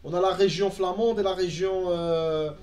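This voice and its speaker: High-voiced chatty Belgian man. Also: steady tone, normal speed, a little mellow, reverberant. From Belgium, Antwerp. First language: Dutch. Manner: chatty, answers chat, frequent fillers and restarts. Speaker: gaming streamer. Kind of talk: casual live-stream chat while playing. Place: room at home, indoors.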